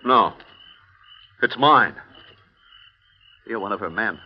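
A man's voice: lowish voice, expressive, slow. An actor playing a deranged escaped male mental patient, panicked and lashing out, in 1950s radio drama acting. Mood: frantic. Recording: lo-fi old radio broadcast with hiss.

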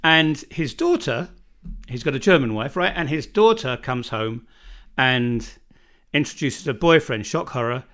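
A man's voice: medium pitch, expressive, measured pace, a bit bright. British man rambling in casual chat. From Britain. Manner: rambling, restarts sentences often. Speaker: podcast co-host. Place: home in northern Bosnia.

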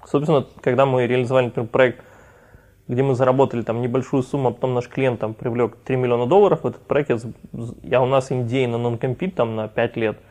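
A man's voice: medium pitch, fairly even intonation, quick pace, a little mellow. A man, a company founder, talking casually in a podcast interview and rambling with frequent restarts. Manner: rambling, many restarts and fillers. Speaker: company founder and CEO guest.

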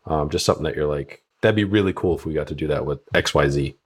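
A man's voice: a bass voice, fast talker, slightly rough. A man hosting a podcast, asking long, rambling questions full of "you know"s in a casual way. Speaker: podcast host.

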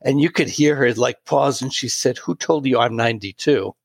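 A man in his late sixties telling a personal story in an interview, pausing and restarting often. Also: medium pitch, vocal fry, breathy edge, dry recording. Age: 60s. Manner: warm, halting anecdotal storytelling with many restarts. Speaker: guest.

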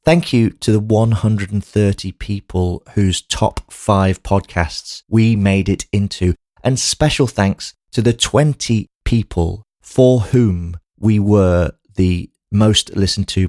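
A man's voice: fairly low pitch, slow pace, a little mellow, no echo, clean studio sound. A man hosting a podcast, speaking directly to his listeners in an outro style. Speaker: podcast host.

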